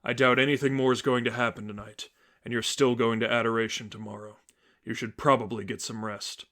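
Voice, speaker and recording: A man voicing a stern, brooding masked vigilante mentor, speaking in a grave, terse, low-key way in a story reading. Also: little pitch movement, a little fast, pushed and bright.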